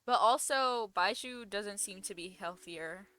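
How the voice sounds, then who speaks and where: Bright, playful woman gamer. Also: mid-range voice, unhurried, clear, resonant tone. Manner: giggly, playful, slangy. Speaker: gaming content creator reacting to a story quest. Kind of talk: casual playthrough commentary.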